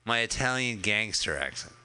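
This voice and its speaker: A man's voice: on the low side, lively intonation, normal speed, sharp tone, clean tone. A man co-hosting a podcast, chatting casually and jokingly about a film as it plays, swearing often and rambling. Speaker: podcast co-host. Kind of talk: casual movie commentary chat.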